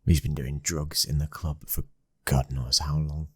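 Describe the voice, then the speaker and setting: A man plays a brooding British mafia boss's son in an ASMR romance roleplay. His voice is extremely low and husky, kept close and intimate. He speaks as a protective, devoted husband, often dropping into a whisper. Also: little pitch movement, measured pace, no echo, exaggerated voice. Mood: stern, exasperated. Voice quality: very deep, breathy, husky. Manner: hushed intimate delivery slipping into whispers.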